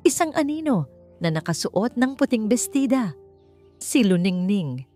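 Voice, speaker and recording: A woman's voice: medium pitch, sing-song, measured pace, mellow, faint music behind. A woman with a Filipino accent narrating a dramatic audiobook story from a script.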